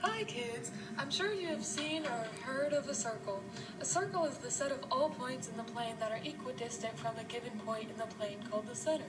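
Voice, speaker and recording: A woman's voice: a little high, a little fast, lightly acted. A woman voicing a sock puppet who recites a textbook maths explanation for kids. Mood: explanatory. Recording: distant mic, music over voice.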